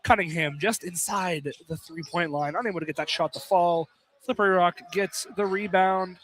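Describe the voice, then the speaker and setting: High-voiced man calling live basketball. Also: normal speed, fairly bright tone. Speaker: play-by-play sports announcer. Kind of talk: live basketball play-by-play commentary. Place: college field house during a game. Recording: clearly noisy live gym broadcast.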